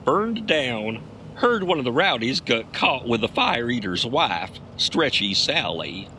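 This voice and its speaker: An actor playing a folksy, tough trucker and amateur detective who tells wisecracking stories in a comedic audio drama. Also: male voice, medium pitch, sing-song, slow pace, sharp tone, slight background noise, heavily acted. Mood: wry, folksy.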